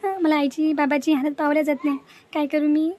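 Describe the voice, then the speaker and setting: A woman's voice: high-pitched, little pitch movement, brisk, a little mellow, close to an everyday voice. A woman voicing a selfless, sensible young daughter of a poor family in a moral-story cartoon, earnest and pleading, with a Varhadi Marathi accent. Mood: earnest worry.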